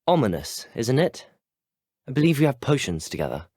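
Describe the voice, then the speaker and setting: A polite, eager student wizard from a video game, with an English accent and a medium-pitched voice. His delivery is earnest and well-spoken, with lots of melodic movement. The sound is dry and studio-clean. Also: very animated, normal speed, dark tone, husky, dry recording. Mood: earnest, curious. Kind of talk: scripted game dialogue lines.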